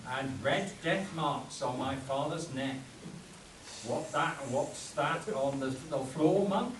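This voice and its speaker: A man's voice: mid-range voice, takes it slowly, close to an everyday voice. A man playing a commanding adventurer hero in a spoof radio play performed live. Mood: grave. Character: commanding adventurer hero Doc, leading his men. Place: live stage performance at a convention. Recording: echoey convention room, distant mic, audience noise.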